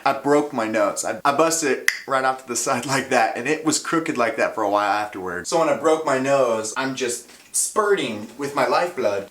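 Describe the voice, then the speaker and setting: A man's voice: medium pitch, a bit bright. A young man telling a funny childhood story on his vlog at home, casual and self-mocking, and he laughs at himself often. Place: at home doing laundry. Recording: roomy, mic at a distance, faint piano.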